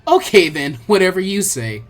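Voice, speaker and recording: A narrator doing a blunt, sarcastic teenage ninja girl, swooping pitch. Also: very animated, normal speed, faint music behind, close to an everyday voice. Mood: teasing. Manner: blunt, casual. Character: brisk, easily annoyed teenage girl ninja, sarcastic but friendly.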